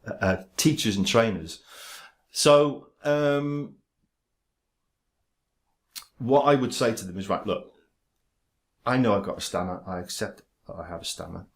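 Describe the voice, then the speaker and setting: Male, low-pitched; halting delivery with stammer control, frequent fillers and restarts. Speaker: vlogger talking about his stammer. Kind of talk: casual direct-to-camera talk.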